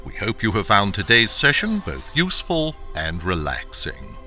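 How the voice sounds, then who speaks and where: A man's voice: lowish voice, wildly swooping pitch, moderate pace, sharp tone, faint music behind, exaggerated voice. A man playing a polite clinic technician giving a polished, scripted corporate announcement in an audio drama. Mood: courteous, salesy. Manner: polished, courteous corporate delivery. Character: polite, upbeat male clinic technician reciting a sign-off.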